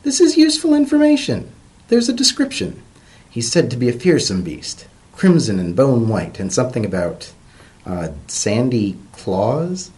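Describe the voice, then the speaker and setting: A man voicing an earnest, excitable female pony professor who lectures pedantically in a fantasy story reading. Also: mid-range voice, normal speed, close to an everyday voice. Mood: puzzled, intrigued.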